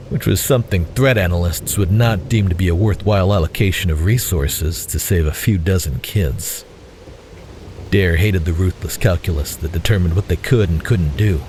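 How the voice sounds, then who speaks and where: A man's voice: deep voice, background noise. A man reading scripted narration for a dramatic audio story.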